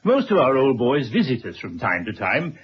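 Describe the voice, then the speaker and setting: Husky, genial old headmaster. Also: male voice, mid-range voice, big pitch swings, normal speed. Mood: genial, persistent. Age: older. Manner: formal, wordy, chuckling asides. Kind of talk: 1970s radio drama acting. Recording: dull old radio drama recording.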